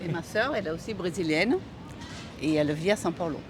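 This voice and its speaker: A woman from Brazil explains her view thoughtfully to a street interviewer. Clear city noise sits under her voice, recorded outdoors. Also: fairly low pitch, fast talker. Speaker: passer-by.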